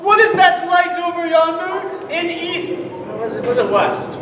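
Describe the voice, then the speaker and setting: A bossy boy actor who hams up Romeo with grandiose recitation, played by a young man. His voice sits high and lively and drawls slowly. It comes from far off in a big echoing hall with an audience murmuring. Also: some chatter behind, slight background noise, exaggerated voice. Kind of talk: amateur stage play. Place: large hall stage.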